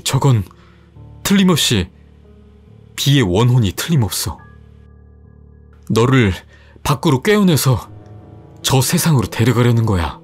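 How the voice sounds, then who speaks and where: A man's voice: mid-range voice, very animated, measured pace, long pauses, breathy edge, faint music behind. A man voicing anxious older college students who urgently warn against opening the door. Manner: urgent, warning.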